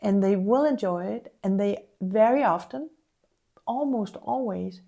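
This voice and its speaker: A woman career coach talks things through in a relaxed conversation. Her voice is gravelly and warm, with a mellow tone. She reflects calmly and leans on everyday examples. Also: mid-range voice, unhurried. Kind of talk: casual conversation.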